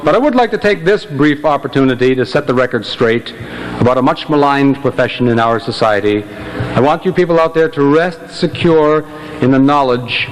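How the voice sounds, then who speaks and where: A man's voice: medium pitch, normal speed. A man doing comic stage patter as a quartet member, wry and mock-humble, telling jokes to a live audience. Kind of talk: stand-up comic stage banter. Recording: noisy live hall recording, audience present.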